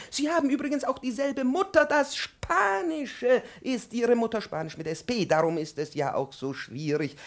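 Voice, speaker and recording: An actor playing a pompous, excitable, domineering professor lecturing a pupil in a 1950s radio play, pedantic and declamatory. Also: male voice, high voice. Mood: insistent lecturing. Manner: pedantic, declamatory, swinging from hushed to shouting.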